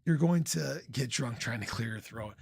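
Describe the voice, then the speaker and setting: A man with a gravelly, slightly hoarse voice at a middling pitch talks casually as a livestream host. He is informal and playful, often clearing his throat. The sound is dry and close, with no room to it. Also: normal speed, no echo. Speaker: livestream host, crypto commentator. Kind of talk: casual livestream chat, reading and answering viewer comments.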